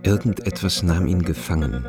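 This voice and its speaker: A man's voice: low-pitched, normal speed, music in the background. A man narrating a radio drama in a scripted, literary style.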